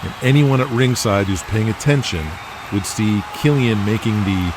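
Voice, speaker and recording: A man's voice: fairly low pitch, moderate pace, background noise. A man reading scripted dramatic narration in the present tense as the narrator of an audio drama.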